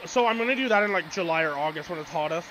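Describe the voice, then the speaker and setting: A man in his late twenties streaming a game and chatting casually, excitable and swearing freely while talking over his friend. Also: fairly high pitch, very fast, a little hiss or hum, rough recording. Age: 20s. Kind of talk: casual chat while gaming. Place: small bedroom with colorful wall.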